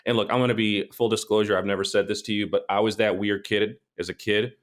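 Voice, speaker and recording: A man's voice: fairly low pitch, fairly even intonation, fast. Brooklyn-raised guy joking about himself, easygoing chat. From Brooklyn, New York. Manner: playful, self-mocking. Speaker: podcast guest, film director. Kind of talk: casual chat.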